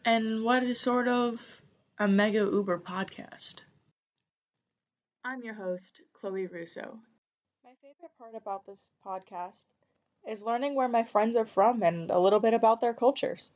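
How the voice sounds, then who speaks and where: A woman's voice: fairly high pitch, unhurried. A young American woman hosting a podcast, chatty and enthusiastic in casual talk. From United States. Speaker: podcast host. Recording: dull lo-fi recording.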